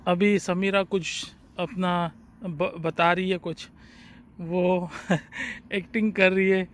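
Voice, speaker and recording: A man in his forties vlogging to his phone camera on an outdoor train platform at night, in a chatty, relaxed way. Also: high voice, slow pace. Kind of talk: casual vlog narration. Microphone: phone at arm's length. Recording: faint outdoor background noise.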